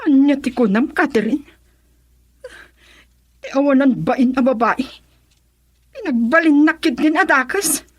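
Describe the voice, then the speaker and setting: A bitter, jealous wife and mother in a radio melodrama. Her voice is very high and sharply accusing. She is recorded dry and close. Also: animated, measured pace, long pauses, no echo. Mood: outraged. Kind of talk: melodramatic radio drama acting.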